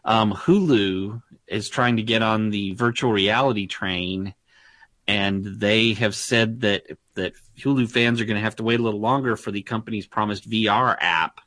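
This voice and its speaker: Low-pitched guy chatting tech news, lots of ums, dry close mic. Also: steady tone, normal speed, a bit bright, dry recording. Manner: rambling, filler-heavy, laughs often. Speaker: podcast host. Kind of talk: casual tech news chat.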